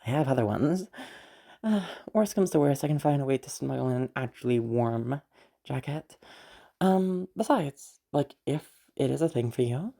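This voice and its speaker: A woman acting as a friendly escort in an audio roleplay, with a low, creaky voice. She rambles casually to the listener, sweary and giggly, and is easily flustered. Her tone is soft-edged and mellow. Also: animated, normal speed, exaggerated voice. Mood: friendly, slightly awkward. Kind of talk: roleplay audio drama, casual improvised-sounding monologue to the listener.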